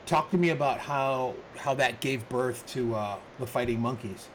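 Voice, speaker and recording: A man's voice: mid-range voice, moderate pace, rough recording. Upbeat man hosting a casual podcast. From Chicago. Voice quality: relaxed, upbeat with frequent fillers. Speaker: podcast host. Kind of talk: casual podcast intro and interview.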